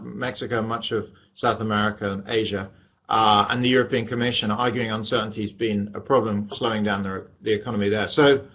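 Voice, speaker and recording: English male lecturer, middle-aged, mid-pitched, chatty webinar delivery with wry asides. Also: fairly bright tone, husky. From England. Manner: chatty, self-deprecating, joking asides with laughs. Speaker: economics lecturer. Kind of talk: webinar lecture over webcam. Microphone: webcam microphone.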